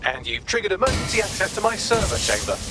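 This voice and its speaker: A chipper artificial intelligence helper from a video game. He is wry and articulate, with a lively, swooping delivery in a fairly high male voice, over clear background music. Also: fast. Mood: wry, helpful. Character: dry, chipper male AI assistant. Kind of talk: scripted game dialogue, guiding instructions.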